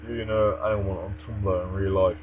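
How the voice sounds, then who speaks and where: A man's voice: low voice, little pitch movement, moderate pace. A young man speaking English in an articulate, formal way, as if for a personal vlog. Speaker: vlogger. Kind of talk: reading aloud and casual answers to a tag challenge. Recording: dull lo-fi microphone with mains hum.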